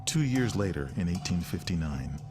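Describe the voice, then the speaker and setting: A man narrates a documentary in a very low-pitched voice, with music playing clearly behind him. The delivery is scripted and orderly, that of a storyteller guiding a film. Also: big pitch swings, normal speed. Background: background music.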